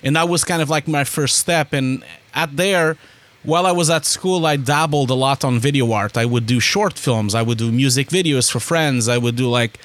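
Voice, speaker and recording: Guy in his 40s, podcast guest, rambles on with lots of restarts, middle pitch. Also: moderate pace. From Portugal. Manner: animated rambling storyteller with frequent restarts. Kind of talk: casual interview chat.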